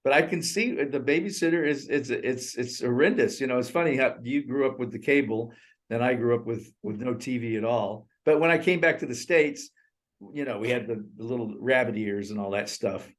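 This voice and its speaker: A man in his sixties co-hosting a casual movie review over a remote call, talking in a rambling, animated way and laughing often. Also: medium pitch, fairly quick. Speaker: co-host. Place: in Thailand.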